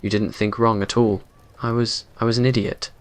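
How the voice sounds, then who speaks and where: A man playing a brooding centuries-old vampire, guilt-ridden and lovestruck, muttering haltingly in a romantic roleplay audio drama. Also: on the low side, little pitch movement, lightly acted. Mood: regretful.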